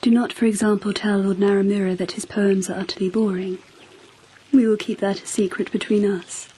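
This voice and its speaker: A woman plays a regal empress of old Japan in a radio drama. She speaks in a measured, musing way at a middling female pitch. There is clear ambient noise behind her. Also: little pitch movement, dark tone, natural voice. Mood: assured, confiding. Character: regal, reflective empress of 10th century Japan.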